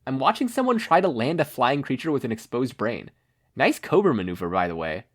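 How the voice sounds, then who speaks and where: Female hero mentor read by a man, brisk, commanding, dry humour, varied intonation, storybook. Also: mid-range voice, very animated, voice pushed up.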